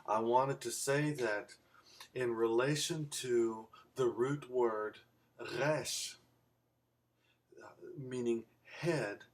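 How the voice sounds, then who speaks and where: Slow, halting man, distant from the mic. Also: mid-range voice. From raised in Belgium. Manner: halting, deliberate, with long pauses. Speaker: amateur Bible scholar giving a video response. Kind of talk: informal explanatory lecture.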